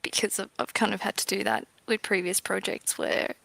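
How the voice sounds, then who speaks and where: A woman with a mid-pitched voice answers questions in a casual developer interview. She is thoughtful and self-effacing, feeling her way through each answer with hesitations. The recording is close and dry. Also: fairly even intonation, brisk, gravelly, fairly bright tone, no room sound. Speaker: game developer being interviewed.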